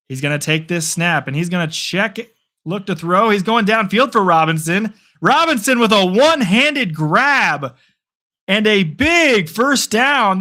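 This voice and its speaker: Excitable young man calling a game. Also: sits high. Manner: excitable, chatty, answers viewer chat. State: losing his voice. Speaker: live play-by-play streamer. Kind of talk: casual live play-by-play commentary.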